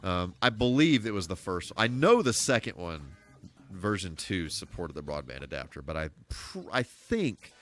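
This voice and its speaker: A man streaming a game and chatting casually, thinking aloud in a rambling, halting way with folksy, mild exclamations. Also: deep voice, sing-song, a bit bright. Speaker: live streamer, host. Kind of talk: casual gameplay live stream chat.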